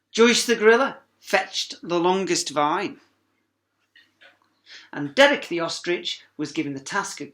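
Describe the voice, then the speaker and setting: Woman reading a kids book, slow n dramatic, really animated, little asides. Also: sits low. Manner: dramatic, drawn-out emphasis, chatty asides. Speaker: children's story reader. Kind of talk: expressive storybook reading for young children.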